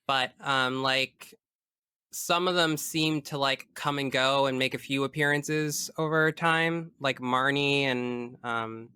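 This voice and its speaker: A man with a crisp, forward voice talks as a podcast guest in casual conversation. His delivery is bright and frank with a geeky streak, and the sound is close and dry. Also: mid-range voice, fairly even intonation, slow pace, no room sound. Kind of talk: casual chat about video games.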